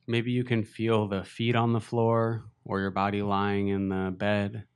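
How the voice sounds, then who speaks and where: Meditation teacher, male, low smooth voice, gentle and unhurried guidance. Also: monotone. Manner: slow, gentle, unhurried guidance with long pauses. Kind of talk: guided meditation.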